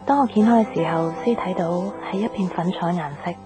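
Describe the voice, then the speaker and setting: An actress playing a gentle young career woman in love in a radio drama, speaking Hong Kong Cantonese. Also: lowish voice, brisk, close to an everyday voice. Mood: wistful. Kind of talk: radio drama narration and dialogue. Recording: music behind the voice.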